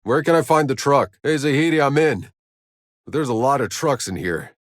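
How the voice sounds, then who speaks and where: A man playing a gruff, weary, sardonic sci-fi soldier in a video game, with terse, dry, world-weary delivery. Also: mid-range voice, animated, normal speed, no echo, natural voice. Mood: urgent, businesslike. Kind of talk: video game character voice lines.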